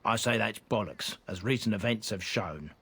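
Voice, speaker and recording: A husky merchant, proud, blunt, earnest, video game dialogue. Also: male voice, lowish voice, normal speed, fairly bright tone, dry recording, exaggerated voice. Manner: blunt, earnest, plain-spoken. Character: proud, gruff male merchant of a disgraced noble family. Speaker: game character.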